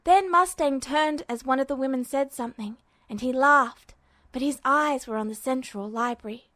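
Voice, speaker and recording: A woman reading a fan fiction audiobook with steady, even storytelling. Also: high voice, normal speed, dry recording, studio quality. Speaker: podfic reader.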